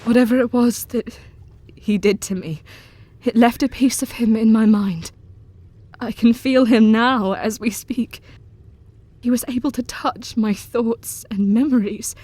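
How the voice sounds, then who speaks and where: An actress playing a haunted young wife in an audio drama, who speaks in a halting, trembling way. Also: female voice, on the high side, warm tone, no room sound. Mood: determined.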